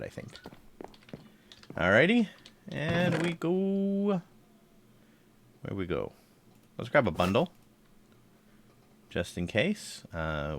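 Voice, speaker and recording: A man with a low, slightly congested voice chatting casually while playing a game on stream and thinking aloud. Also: fairly bright tone, a little hiss or hum. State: congested, throat tickle. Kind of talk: casual live gameplay commentary.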